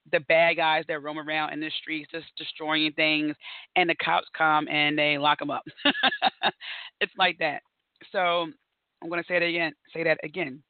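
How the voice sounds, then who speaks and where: A woman hosting her own podcast, her voice raspy and hoarse as she recovers from bronchitis, talking in a chatty, upbeat way with frequent laughs. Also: sits low, no echo, phone-quality sound. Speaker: podcast host. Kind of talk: solo podcast monologue.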